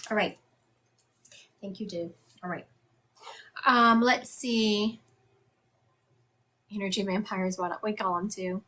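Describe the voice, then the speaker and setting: Female psychic medium, bright forceful tone, conversational and chatty. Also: medium pitch, steady tone, slow pace, long pauses. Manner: chatty and conversational, often laughing. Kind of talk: live-streamed reading for viewers.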